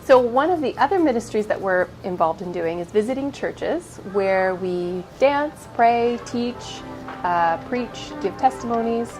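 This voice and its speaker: A woman's voice: on the high side, lively intonation, unhurried, darkish tone, faint music behind, low-quality recording. Stuffed-up woman vlogging casually. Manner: chatty, candid, many fillers. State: stuffed up with a cold. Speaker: vlog host. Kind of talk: casual vlog chat.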